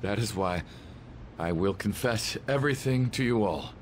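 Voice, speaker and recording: A man's voice: on the low side, animated, a little hiss or hum, natural voice. Man acting a broken, remorseful teacher confessing. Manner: halting, solemn confession. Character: disgraced male teacher, broken and remorseful, confessing his crimes. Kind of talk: dubbed video game drama acting. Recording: music behind the voice.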